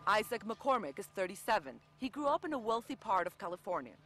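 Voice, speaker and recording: Woman, news correspondent, scripted voice-over, animated pitch, soft music under. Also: fast talker.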